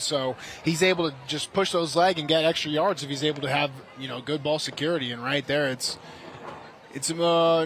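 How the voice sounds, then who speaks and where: A man's voice: fairly high pitch, a bit bright, slight background noise. A man giving conversational, explanatory analysis as a color commentator on a live football broadcast.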